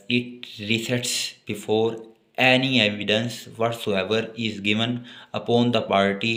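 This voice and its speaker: A man with a Pakistani accent giving a law lecture, alternating deliberate reading of legal text with explanations in his own words. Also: fairly low pitch, a bit bright. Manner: switches between slow, deliberate reading in English and quicker explanation.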